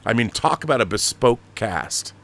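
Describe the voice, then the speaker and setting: A man hosting a casual interview, excitable and emphatic, often repeating words as he gets a question out. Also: mid-range voice, moderate pace. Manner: excitable, stammering repetitions, emphatic. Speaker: podcast host, audio drama director. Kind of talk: casual animated interview chat.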